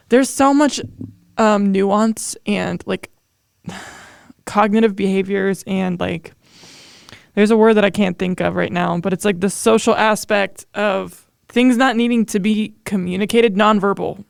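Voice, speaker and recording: A woman's voice: medium pitch, gravelly, smooth, no echo, studio quality. A woman in her late twenties hosting a casual podcast interview, speaking informally and reflectively. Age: late 20s.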